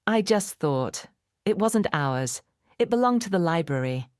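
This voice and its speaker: A woman's voice: fairly low pitch, animated, measured pace, a little mellow, dry recording, clean studio sound. A woman storyteller voicing a shy, polite, earnest young boy in a storybook. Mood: shy, sincere.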